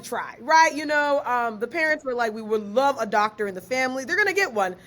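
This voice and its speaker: A fairly high-voiced young woman talks with a podcast host. She is lively and slangy and laughs often. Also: a little fast. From Ghana, raised in Maryland. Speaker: guest, author and researcher. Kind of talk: casual podcast interview.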